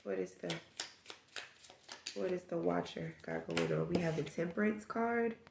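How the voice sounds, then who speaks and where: A woman's voice: on the low side, measured pace, vocal fry, roomy sound, background noise. A woman with a stuffed-up nose giving a casual tarot reading, musing aloud and rambling through possibilities. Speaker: tarot reader.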